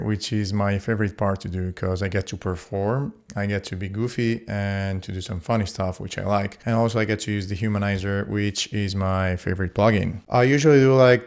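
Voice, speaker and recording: A man talking casually through a sound design tutorial as he works, playful and informal, thinking aloud. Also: fairly low pitch. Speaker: sound designer demonstrating a voice plugin.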